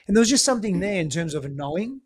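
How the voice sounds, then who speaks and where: A man's voice: high-pitched, very animated, very fast, husky. A man with an Australian accent whose first language is Greek, a podcast guest telling a personal story in an earnest, reflective way. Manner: reflective, earnest, frequent restarts. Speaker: guest, relationship coach. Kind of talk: conversational storytelling.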